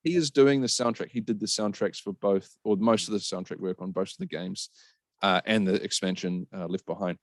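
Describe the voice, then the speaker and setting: A man's voice: low-pitched, steady tone, fairly quick, no echo. A man giving his opinions in casual, emphatic, sweary podcast chat. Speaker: podcast panellist.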